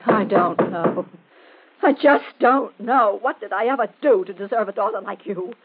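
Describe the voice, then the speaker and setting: A woman's voice: medium pitch, normal speed, phone-quality sound, heavy background noise, lightly acted. An actress playing an indignant, domineering mother scolding her teenage daughter in 1940s radio drama, scornful and repeating her commands. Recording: thin, band-limited old radio recording.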